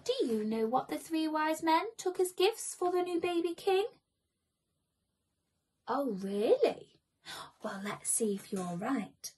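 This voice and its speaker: A young woman telling a children's story theatrically, with breathy asides to her young audience. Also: sits high, big pitch swings, slow, pauses often, a little mellow. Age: young adult. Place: small room at home.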